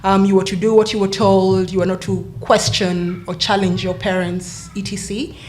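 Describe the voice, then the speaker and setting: A woman speaks as a podcast guest, recalling her childhood in a reflective way. Her voice sits at an ordinary middle pitch. She often pauses, adds fillers and corrects herself mid-sentence. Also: level tone, darkish tone. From Kenya. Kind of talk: casual interview storytelling.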